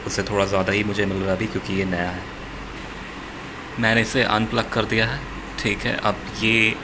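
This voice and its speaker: An Indian-accented man explains a gadget in a low voice with very little rise and fall. He walks through it methodically, restating points as he demonstrates. There is clear background noise behind him. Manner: switches between Hindi and English, repeating points. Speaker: product reviewer.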